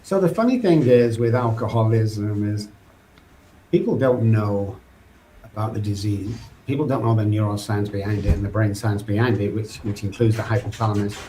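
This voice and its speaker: This is a middle-aged man with a Manchester accent, speaking in a fairly low voice as a podcast guest. He tells his life story in an earnest, loose way, full of restarts, with a faint hiss of background noise behind him. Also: normal speed, dark tone, rough recording. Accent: Mancunian English. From England. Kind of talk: candid personal recovery story.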